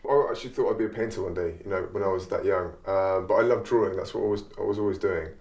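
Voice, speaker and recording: A man with a fairly low voice talks casually in an interview, as an artist. The room around him is clearly echoey. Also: fairly even intonation, quick pace, a little mellow.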